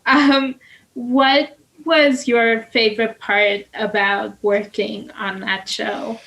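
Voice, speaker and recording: Slow, giggly young woman host. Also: on the high side, steady tone, vocal fry, fairly bright tone, low-quality recording. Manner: hesitant, many ums, giggly. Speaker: interview host. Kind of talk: casual interview.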